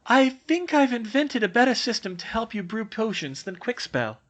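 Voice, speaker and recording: A bright, earnest schoolgirl, as a man puts her on in a raised voice. She talks briskly and politely, pressing her case. Her pitch moves around a lot. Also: sing-song, heavily acted. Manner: brisk, persuasive.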